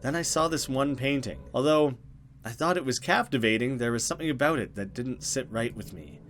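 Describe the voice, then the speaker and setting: A man's voice: medium pitch, lively intonation, brisk, fairly bright tone, slight background noise, natural voice. A man voicing an earnest young woman from a horror visual novel in a conversational storytelling way. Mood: uneasy. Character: grieving young woman, friendly hostess, unsettled by things. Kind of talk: visual novel dialogue read aloud.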